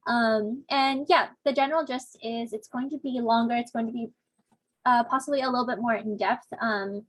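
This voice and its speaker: A woman's voice: a little high, steady tone, moderate pace, no echo, poor audio. Chatty young woman TA on a video call. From Southern California. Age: young adult. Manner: chatty, filler-heavy, self-deprecating. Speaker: teaching assistant. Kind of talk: casual online discussion section intro.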